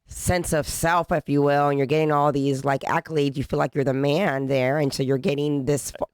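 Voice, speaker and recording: A woman hosting a podcast interview, pressing her guest with repeated follow-up questions and rephrasing to dig deeper. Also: level tone, normal speed, darkish tone, smooth, no echo. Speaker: podcast host.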